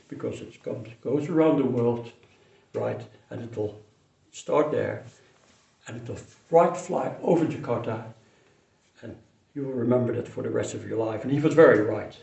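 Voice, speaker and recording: Older guy telling an old memory, gravelly voice, sounds a bit far off from the mic. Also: mid-range voice, a little mellow, muffled recording. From Indonesia. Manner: unhurried, fond, with restarts and pauses. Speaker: storyteller. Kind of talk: personal memory told to camera. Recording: roomy echo, mic some distance away.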